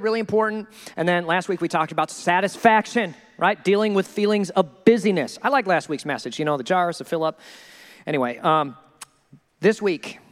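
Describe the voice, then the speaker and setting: High-voiced animated pastor preaching. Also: male voice, big-room sound. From Minnesota. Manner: animated, jokey, acts out quoted people. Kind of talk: sermon.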